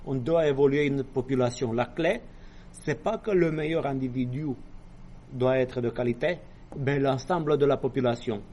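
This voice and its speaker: A man lecturing in a classroom, his pitch sitting in an ordinary middle range. He explains patiently, breaking in with hesitations and apologetic little jokes. A faint noise hums under the recording. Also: normal speed, darkish tone. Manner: explanatory, asides and apologetic quips. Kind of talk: university lecture.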